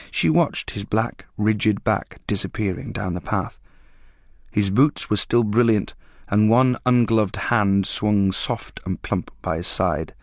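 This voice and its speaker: A low-voiced man reads a story aloud, steady and measured. The recording is dry and close. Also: no room sound. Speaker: narrator. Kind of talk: scripted story reading.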